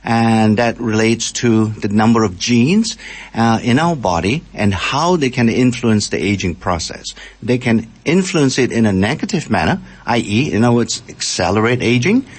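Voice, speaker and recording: An older man from Malaysia, a scientist and interview guest, explaining his points with halting starts. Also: mid-range voice, animated. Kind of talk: radio interview answers.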